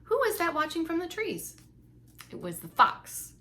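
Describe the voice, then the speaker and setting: A woman reading a picture book aloud to young children as a preschool teacher, expressive and emphatic, with little asides to her listeners. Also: very high voice, unhurried. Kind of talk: expressive storybook read-along for young children.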